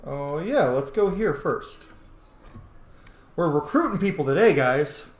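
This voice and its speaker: A man's voice: a little high, lively intonation, a little slow. A man giving casual, amused and sweary commentary as he plays a roleplaying game, reacting aloud. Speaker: let's player, game roleplayer. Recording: roomy sound, faint background noise.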